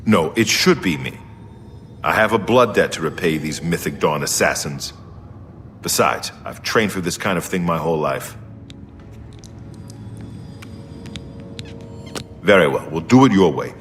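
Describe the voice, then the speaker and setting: A man voicing a gruff, resolute seasoned warrior and secret agent in a fantasy video game. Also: deep voice, expressive, moderate pace, a bit bright, a little hiss or hum. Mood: grave, resolute. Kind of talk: video game dialogue acting.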